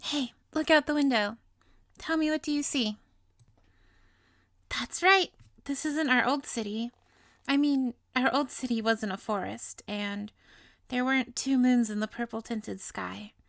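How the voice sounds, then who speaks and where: A high-pitched, sweet young witch, acted by a woman speaks slowly in an intimate audio roleplay. She is playful and possessively adoring, drawing out her words with coy, theatrical affection. The sound is dry and close. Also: gravelly, fairly bright tone, dry recording. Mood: gleeful, proud. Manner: coy, doting, theatrical, drawn-out.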